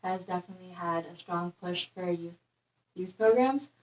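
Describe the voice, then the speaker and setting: A young woman answering interview questions in a relaxed, filler-heavy way. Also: lowish voice, normal speed. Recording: dull, echoey, distant.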